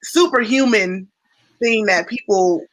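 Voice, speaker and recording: A woman speaking as a panelist and former track athlete, earnest and quick with fillers. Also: medium pitch, no room sound, poor audio. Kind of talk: panel talk.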